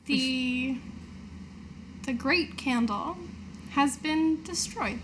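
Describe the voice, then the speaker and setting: A woman's voice: sits high, extremely slow. A woman player role-playing casually in a tabletop game, with background noise on the recording. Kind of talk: casual in-character role-play.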